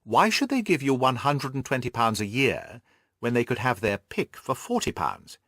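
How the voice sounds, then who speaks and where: A man voicing a Victorian gentleman detective in an audiobook, measured and analytical in manner. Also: mid-range voice, very animated, no room sound, clean studio sound. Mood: thoughtful, uneasy.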